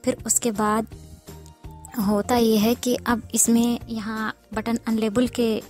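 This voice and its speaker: Higher-voiced woman teaching over music. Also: little pitch movement, slow, a little mellow. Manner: explanatory, repeats instructions. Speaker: tutorial presenter. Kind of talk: informal step-by-step app tutorial.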